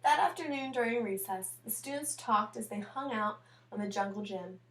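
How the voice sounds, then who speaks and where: A woman teacher reading a picture book aloud, pausing to explain and comment on the pictures as she goes. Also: a little high. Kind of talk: storybook read-aloud with chatty asides.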